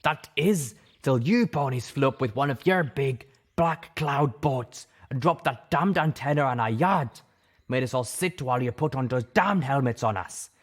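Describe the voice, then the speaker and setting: A man voicing a scowling, bitter monster dog in an audiobook, gruff and exasperated in manner. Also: medium pitch, lively intonation, normal speed, pitched above the performer's own voice, lightly acted. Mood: bitter, resentful. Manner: gruff, foul-mouthed, exasperated.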